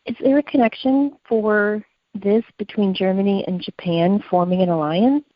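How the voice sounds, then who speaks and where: A woman's voice: medium pitch, dry recording, lo-fi sound. A woman co-hosting a podcast, narrating from prepared notes in an explanatory, storytelling way. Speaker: podcast co-host.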